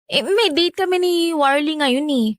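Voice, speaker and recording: A woman's voice: high voice, normal speed, no room sound, studio quality, natural voice. A Filipino woman acting in a radio romance drama as a lovestruck young woman, trusting yet hesitant and defensive about her affair.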